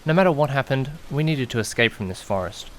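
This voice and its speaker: A man acts out an anxious young man telling his own story in an audio drama. He is dramatic and exclamatory, questioning himself and easily panicked. His voice sits at a middling male pitch over background noise. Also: animated, quick pace, natural voice. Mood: tense narration. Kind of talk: first-person audio drama narration with acted dialogue.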